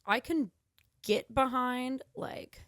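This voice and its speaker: A woman's voice: high voice, takes it slowly, fairly bright tone, no echo, clean studio sound. A woman co-hosting a podcast in casual chat, speaking informally and with slangy emphasis. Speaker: podcast co-host. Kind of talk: casual chat reviewing films and books.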